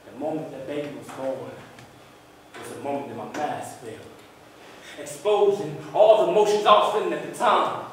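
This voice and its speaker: A man performing a theatrical stage monologue as an emotional young father recalling a birth, with deliberate dramatic pauses. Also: a little high, lightly acted. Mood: reflective, emotional. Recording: very echoey room, distant mic, faint noise.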